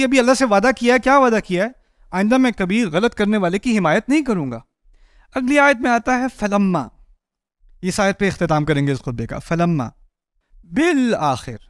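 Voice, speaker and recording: A man in his forties giving a lively sermon on a stage, moving and gesturing as he speaks, acting out the people in the story through a headset mic. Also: no echo, clean studio sound. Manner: animated, gestures widely while pacing a stage; acts out voices of people in the story, rising high for a shout. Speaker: preacher. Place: indoor stage before a patterned backdrop.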